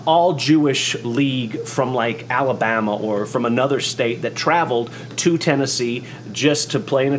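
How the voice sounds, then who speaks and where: A middle-aged American man telling a personal story in a reflective monologue, haltingly and with repeated words. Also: medium pitch, a little hiss or hum. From United States, born in New York, from Oklahoma. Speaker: host.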